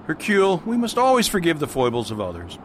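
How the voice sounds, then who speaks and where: Man playing an animated, lightish-voiced priest detective. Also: fast talker. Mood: gentle. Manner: animated, patiently explanatory. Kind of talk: radio mystery acting. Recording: faint rumbling background noise.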